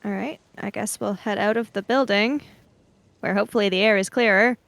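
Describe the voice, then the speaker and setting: A woman's voice: medium pitch, steady tone, a little fast, gravelly, a bit bright, no room sound. A woman casually narrating her character's actions as a player in a tabletop role-playing game.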